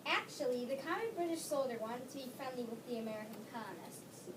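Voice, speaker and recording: A woman reading narration in a readers theater history play, steady and informative. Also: high voice, quick pace. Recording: distant mic, clear background noise.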